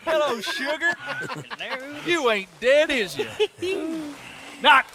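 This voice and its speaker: An actor playing a creepy, gleefully threatening killer in a video game. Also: male voice, extremely high pitch, lively intonation, drawn-out words, crowd noise, heavily acted. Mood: gleeful menace. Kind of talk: video game cutscene acting. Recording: very noisy background.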